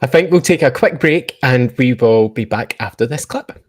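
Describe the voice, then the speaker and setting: A man's voice: medium pitch, animated, moderate pace, breathy edge. A man hosting a podcast interview casually, feeling his way through questions and rephrasing them as he goes. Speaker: podcast host.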